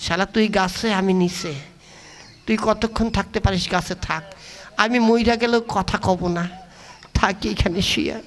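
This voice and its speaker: A man's voice: high voice, moderate pace, a crowd in the background, voice pushed down. A man voicing a sly, obstinate trickster puppet who mutters to himself in a comic play. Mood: sulky, stubborn.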